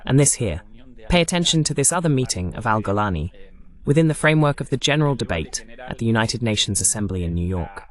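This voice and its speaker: A man's voice: medium pitch, very animated, quick pace. A man voicing a political talk show host in translation, presenting the discussion.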